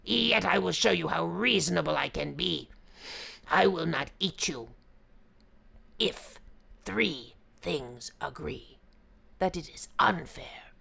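A woman voicing a fierce, hungry, deceitful male tiger with a snarling, drawn-out menace, in a folk tale. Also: lowish voice, lively intonation, vocal fry, sharp tone, husky, heavily acted. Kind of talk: oral folk tale storytelling.

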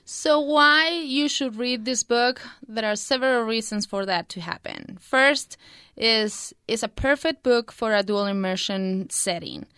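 Clear young woman, close dry sound. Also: a little high, a little slow, bright, forceful tone, dry recording. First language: Spanish. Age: 20s. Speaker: student book reviewer. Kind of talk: prepared book review.

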